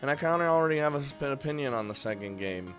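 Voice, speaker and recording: A man's voice: mid-range voice, lively intonation, fast, a bit bright, soft background music. A man hosting a casual, unscripted countdown of games, chatty and crude, swearing freely. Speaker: video host and game reviewer.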